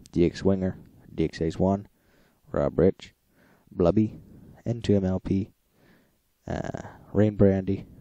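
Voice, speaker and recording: A man's voice: deep voice, mellow, dry recording, dull audio. A man hosting an informal recap, reading out lists of radio logs with occasional brief asides. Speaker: host of a radio logging recap.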